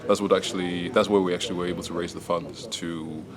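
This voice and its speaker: Man, very deep voice, measured and explanatory, crowded room with chatter behind. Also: fairly even intonation. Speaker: comics company director. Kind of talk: casual interview answers. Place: busy studio workspace.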